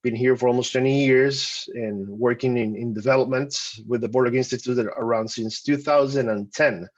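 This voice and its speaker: A man from Costa Rica presenting a webinar, conversational and halting with frequent restarts. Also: mid-range voice, dull audio. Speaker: webinar presenter, program coordinator.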